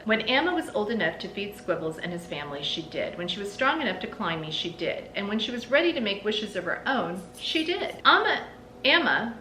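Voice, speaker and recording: A middle-aged woman reading a storybook aloud expressively, with deliberate phrasing and dramatic emphasis. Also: a bit bright. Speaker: read-aloud host. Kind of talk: storybook read-aloud narration. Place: indoors in a small room lined with bookshelves. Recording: roomy echo, clear background rumble.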